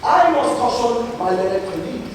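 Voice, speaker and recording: A man's voice: very high-pitched, lively intonation, normal speed. An actor playing a sarcastic, aggrieved prosecutor in a satirical stage play, a man with a Nigerian accent arguing pompously and emphatically. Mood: disdainful. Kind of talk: projected theatrical courtroom argument. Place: large theatre stage, picked up from afar. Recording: far-off echoey hall recording, noisy.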